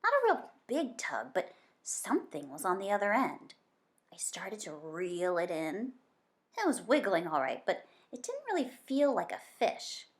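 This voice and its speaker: A woman reading a children's story aloud in an expressive, dramatic way. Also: mid-range voice, a little slow. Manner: dramatic, varying from hushed suspense to emphatic excitement. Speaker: children's book reader.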